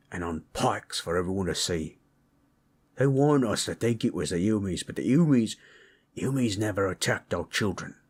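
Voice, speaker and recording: A man voicing a gentle, proud orc warrior in an intimate audio roleplay, telling tales in a folksy, rough-hewn, husky way. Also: deep voice, heavily acted. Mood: grim, bitter. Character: gentle, proud male orc warrior storyteller, affectionate, teasing. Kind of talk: intimate comfort roleplay storytelling.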